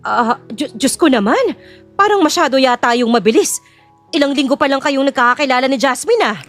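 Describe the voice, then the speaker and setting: A woman's voice: sits high, animated, a little fast, a bit bright, soft background music, voice pushed up. A woman voicing a flustered, scheming middle-aged sister-in-law in an audio drama, stammering and exclaiming. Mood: panicked.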